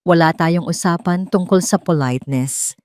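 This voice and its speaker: A woman's voice: fairly low pitch, moderate pace, a little mellow, no echo, studio quality, close to an everyday voice. A woman voicing a stern, angry male doctor, cold and firmly controlled, in a romance audio drama. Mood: cold anger.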